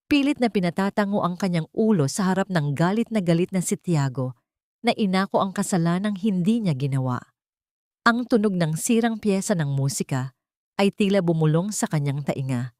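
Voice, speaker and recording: Lowish-voiced woman narrating drama. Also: darkish tone, no room sound, clean studio sound.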